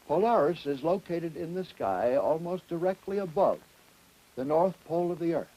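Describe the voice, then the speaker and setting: A man presenting an educational lecture to students in deliberate, emphatic, didactic phrasing. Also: fairly high pitch, dark tone, poor audio. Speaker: educational film presenter and astronomy teacher.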